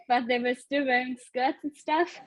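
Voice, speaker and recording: Higher-voiced woman, dry close sound. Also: steady tone, unhurried, dry recording. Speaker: club member giving a presentation. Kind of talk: informal explanatory presentation. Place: indoors on a video call.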